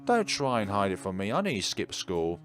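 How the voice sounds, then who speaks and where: A man's voice: lowish voice, big pitch swings, brisk, fairly bright tone. A man voicing a suspicious, exasperated teenage sister in a visual novel, with an emphatic, scolding delivery. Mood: accusing. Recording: music behind the voice.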